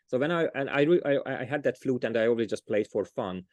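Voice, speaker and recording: A man's voice: mid-range voice, animated, a little fast, dry recording. A man with a Hungarian accent, a storyteller being interviewed, talking casually and often restarting as he searches for words. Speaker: storyteller, guest.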